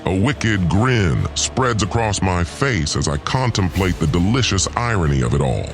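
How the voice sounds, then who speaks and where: A man narrates an audio drama in the first person with a low voice. His reading is theatrical and brooding, with lively rises and falls, over a music bed. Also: moderate pace.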